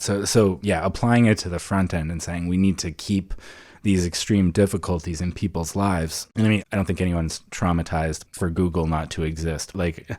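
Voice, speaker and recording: A man co-hosting a podcast, talking in a reflective, conversational way with earnest, deliberate reasoning. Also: low-pitched, darkish tone, no room sound, clean studio sound. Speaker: podcast co-host.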